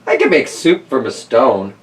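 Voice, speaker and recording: A storybook young man on the road, read by a man, hungry yet polite and sly. His medium-pitched voice moves up and down freely, picked up a little way from the mic. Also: sing-song, moderate pace, distant mic, a little hiss or hum, natural voice. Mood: confident.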